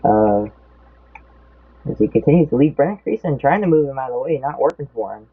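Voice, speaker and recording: A man's voice: mid-range voice, animated, fairly quick, breathy edge. A young man casually commenting on a race, rambling and losing track of his count, his voice a bit off. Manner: rambling, loses track mid-sentence. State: voice feels off. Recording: tinny phone-like audio.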